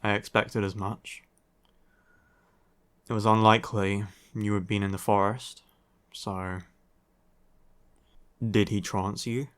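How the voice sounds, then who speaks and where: A man's voice: fairly low pitch, flat delivery, slow, pauses often, clean tone. A man playing a grumpy, weary young vampire in an audio roleplay, curt and sardonic with heavy sighs. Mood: weary, impatient. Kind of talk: roleplay audio acting, ASMR.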